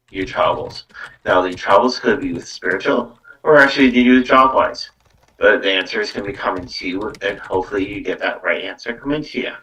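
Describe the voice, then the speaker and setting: A man's voice: on the low side, fairly bright tone. A man hosting a casual live psychic show, rambling along and padding sentences with filler words. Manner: rambling, repeats filler words like actually and though. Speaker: psychic medium podcast host. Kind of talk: casual live card-reading show talk. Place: live online stream. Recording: muffled, distant mic.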